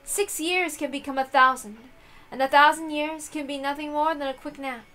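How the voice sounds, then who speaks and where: Female visual novel reader, higher voice, expressive storytelling that slips into casual chat, music underneath. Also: normal speed. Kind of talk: expressive read-aloud narration with casual asides.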